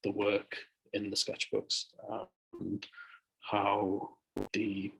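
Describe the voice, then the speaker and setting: A man in an artists' panel, speaking slowly and searching for words. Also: fairly low pitch, completely flat delivery, slightly rough, poor audio. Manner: slow, measured, searching for words. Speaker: artist in the group.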